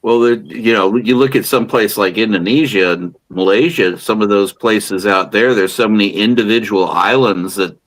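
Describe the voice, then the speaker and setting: A man's voice: on the low side, moderate pace, few pauses, fairly bright tone, rough recording. Rambling American man in casual chat. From United States. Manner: rambling, restarts phrases. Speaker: beer show co-host.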